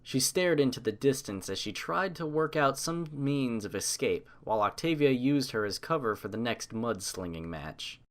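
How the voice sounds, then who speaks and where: A man's voice: medium pitch, expressive, normal speed, few pauses. A man narrating a scripted story as an audiobook reader.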